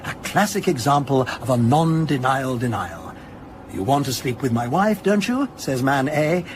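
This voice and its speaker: An actor playing a precise, dryly pedantic academic language analyst in a radio drama, speaking in a measured, lecturing way. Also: male voice, medium pitch, expressive, noisy background, close to an everyday voice. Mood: dryly explanatory.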